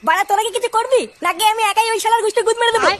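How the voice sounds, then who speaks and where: A squeaky hot-tempered village lad. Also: racing. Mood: angry ranting. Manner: shouting crude rants. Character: hot-tempered foul-mouthed young village man. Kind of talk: comic cartoon dubbing.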